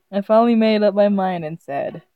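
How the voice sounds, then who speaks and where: A woman's voice: medium pitch, dry recording, narrow, tinny audio. A woman streaming a visual novel, reading it aloud casually, often stumbling over the words in a giggly way. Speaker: game streamer.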